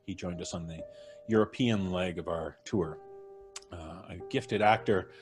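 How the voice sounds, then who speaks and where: A man hosting a casual live stream, presenting in a courteous, unhurried way. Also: sits low, soft background music. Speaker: podcast host introducing the cast.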